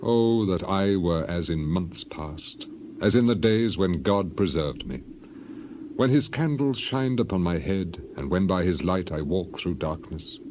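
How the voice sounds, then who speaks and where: A man's voice: low-pitched, dull audio, background noise. A man voicing a grieving, dignified biblical elder in a solemn, lamenting, declamatory dramatized reading. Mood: wistful.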